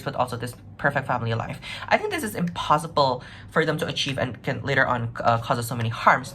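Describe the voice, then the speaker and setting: A woman with a low voice delivers a competitive debate speech. She argues earnestly in a conversational, half-improvised way, tagging points with little checks for agreement. There is some background noise on the recording. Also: a bit bright. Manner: earnest, conversational, frequent 'right?' and 'like' tags. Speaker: competitive debater, first affirmative speaker.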